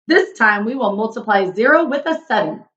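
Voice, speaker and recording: A woman's voice: on the high side, animated, moderate pace, a bit bright, lo-fi sound, slight background noise. A woman voicing a jovial, easily flabbergasted king in a children's storybook, full of exclamations and proclamations. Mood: surprised, pronouncing.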